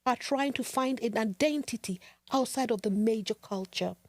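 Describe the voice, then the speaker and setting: A woman hosting a podcast, giving an earnest, measured and rhetorical monologue. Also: fairly high pitch, no echo. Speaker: podcast host.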